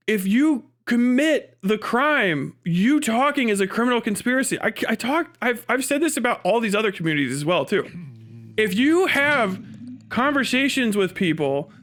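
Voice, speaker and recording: A man with a high voice that swings widely in pitch, delivering off-the-cuff livestream commentary. He sounds exasperated and incredulous, talking bluntly and acting out the arguments he objects to. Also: fairly bright tone. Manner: exasperated, incredulous, often laughing mid-sentence; sweary, blunt. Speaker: live streamer. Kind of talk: unscripted commentary rant.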